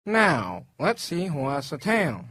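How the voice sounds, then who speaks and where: A perky cartoon cookie hosts an elimination contest, acted by a man. The voice is high and very lively in pitch, announcing each moment theatrically to the viewers. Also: slow pace, no echo, exaggerated voice. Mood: upbeat, suspenseful. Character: Cookly, perky animated cookie game-show host. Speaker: show host. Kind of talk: cartoon elimination-show hosting.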